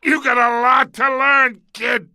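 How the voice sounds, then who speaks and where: A man's voice: extremely high pitch, flat delivery, a little slow, no room sound, clean studio sound, exaggerated voice. A man voicing an arrogant, belligerent crime boss in a video game, combative and strained. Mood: furious, defiant. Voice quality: strained, gravelly. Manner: shouting, combative.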